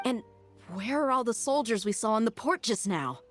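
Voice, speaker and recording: A woman voicing a wary, capable young female mercenary in a video game, with a measured, decisive way of talking. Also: fairly high pitch, expressive, lightly acted. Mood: startled, suspicious. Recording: background music under the dialogue.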